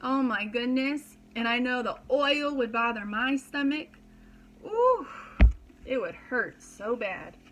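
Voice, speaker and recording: A woman's voice: a little high, expressive, slow pace, noisy background. A woman reading a children's story aloud as a preschool teacher, dramatically and expressively, with simple explanations for young listeners.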